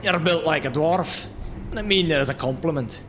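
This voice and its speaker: A man voicing a gruff, blunt but good-humored dwarf combat trainer in a fantasy audio drama, with a brash, teasing manner. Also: a little high, normal speed, fairly bright tone, a little hiss or hum, pitched above the performer's own voice, lightly acted. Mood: teasing, apologetic. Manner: brash, teasing, hearty exclamations.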